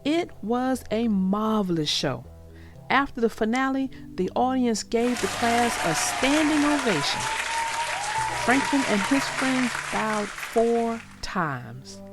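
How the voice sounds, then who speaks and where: A woman narrating for young children in an expressive, reassuring way, as if reading aloud and talking directly to them. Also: fairly high pitch, takes it slowly, over music, crowd noise. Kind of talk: read-aloud storytelling and advice to young listeners.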